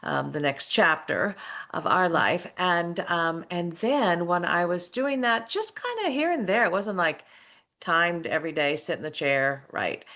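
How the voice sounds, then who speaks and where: Low, gravelly woman storyteller. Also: expressive, unhurried, pushed and bright. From United States. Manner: earnest, reflective storytelling. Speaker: author and former school principal. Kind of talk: casual interview storytelling.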